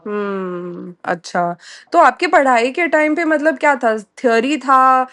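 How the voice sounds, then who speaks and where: Indian lady on a call, highish voice, asking curious questions in a chatty way, rephrases a lot. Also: animated, takes it slowly, a bit bright. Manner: inquisitive, frequently acknowledging and rephrasing questions. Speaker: interviewer. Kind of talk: casual question-and-answer conversation. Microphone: call recording.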